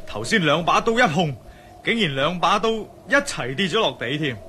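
A man's voice: fairly high pitch, lively intonation, measured pace, sharp tone, a little hiss or hum. A man with a Hong Kong accent playing a bold, courteous Chinese adventurer hero in a radio drama. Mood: hearty, magnanimous.

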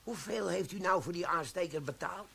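An actor playing a sly, glib army quartermaster sergeant in a radio play, talking with cheeky, wheedling banter. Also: male voice, sits high, moderate pace, gravelly, bright, forceful tone, breathy edge, exaggerated voice.

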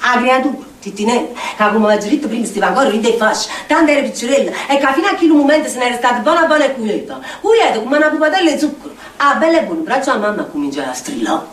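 High-voiced Neapolitan woman telling a tale on stage. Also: fast, few pauses. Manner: expressive, incantatory repetition of phrases. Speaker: stage actress performing a theatre monologue. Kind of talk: theatrical dramatic storytelling monologue in dialect. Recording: echoey distant stage recording with noise.

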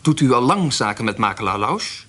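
Radio play magistrate, male: husky voice, fawning, formal, smoothly gallant. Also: medium pitch, sing-song, a little fast, fairly bright tone. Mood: curious, flattering. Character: fawning small-town magistrate, flattering a young widow. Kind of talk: radio drama acting.

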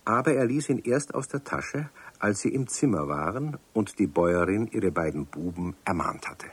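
Low, husky storyteller man. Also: lively intonation, normal speed. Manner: warm storytelling, addresses young listeners. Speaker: narrator. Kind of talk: scripted storybook narration.

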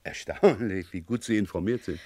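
An actor playing a sardonic, persistent police inspector in a vintage radio crime drama, speaking in an ironic, deliberate way. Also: male voice, sits low, darkish tone, no room sound. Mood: stern, threatening.

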